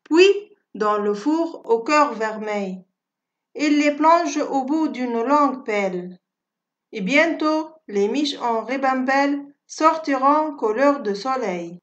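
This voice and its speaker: A woman reciting a poem for schoolchildren in a measured, deliberate, carefully phrased way. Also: high voice, lively intonation. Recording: dull, lo-fi audio.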